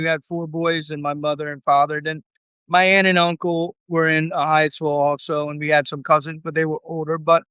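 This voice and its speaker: Smooth-voiced man in casual conversation. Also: on the high side, dry recording, low-quality recording. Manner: relaxed, rambling answers with fillers. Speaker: interview guest.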